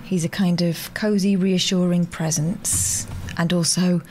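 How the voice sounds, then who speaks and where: A woman's voice: lowish voice, fairly even intonation, a little slow, darkish tone, slight background noise. A woman co-hosting a late-night radio show, chatting casually with dry, teasing replies.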